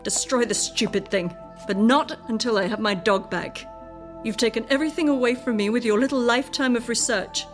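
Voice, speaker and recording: A woman's voice: a little high, fairly quick, natural voice. A woman acting a discontented, self-absorbed wife with a biting, emphatic delivery in a radio drama. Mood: bitter. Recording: prominent music behind the voice.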